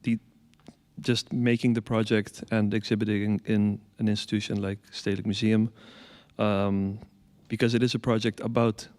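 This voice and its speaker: A man from the Caribbean, an artist, speaks on a panel in a measured way, pausing often with ums. His voice is low and flat, carried with a long reverb. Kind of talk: panel talk.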